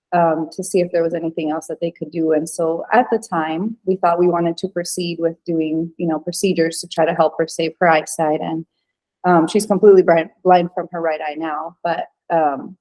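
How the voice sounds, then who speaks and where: Venezuelan-born woman, 30s, kinda low voice, rambles with lots of ums, podcast guest. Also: fairly even intonation, normal speed, darkish tone, rough recording. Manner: chatty, lots of fillers and restarts. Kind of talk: casual interview chat.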